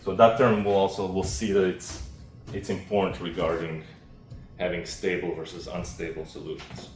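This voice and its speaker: A man with a low voice presents a technical seminar in an explanatory, halting, informal way. He is picked up from a distance in an echoey room, with faint noise behind him. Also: level tone, soft background music. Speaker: academic researcher presenting. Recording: roomy, distant mic, faint background noise.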